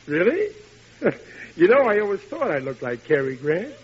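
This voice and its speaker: A man's voice: high voice, wildly swooping pitch, moderate pace. An actor playing a blustering, dim-witted working-class family father in a 1940s radio sitcom, indignant and folksy with ungrammatical speech. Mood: hurt pride. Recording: hissy vintage radio broadcast, dull.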